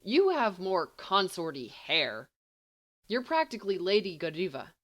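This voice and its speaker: A woman's voice: on the low side, huge pitch swings, lo-fi sound, full character voice. A woman playing an exasperated, bookish teenage schoolboy in an audiobook, grumbling and dryly sardonic. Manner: grumbling, sardonic, halting when flustered.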